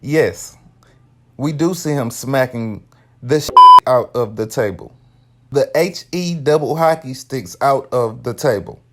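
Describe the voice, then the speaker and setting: A young man cutting in with a deadpan, mock-formal announcer style. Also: mid-range voice, measured pace, a little hiss or hum.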